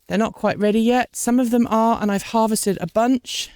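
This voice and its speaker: A woman's voice: on the high side, steady tone, moderate pace, a little mellow, dry recording, studio quality. A woman hosting an unscripted garden vlog outdoors, chatting casually with lots of ums while recovering from a cold and a bit sniffly. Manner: chatty, rambling, with many ums. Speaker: vlog host. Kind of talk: scripted outro thanking supporters.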